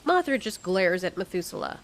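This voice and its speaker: A woman's voice: fairly low pitch, sing-song, fairly quick. A woman giving scripted story narration as narrator and host of an audio series.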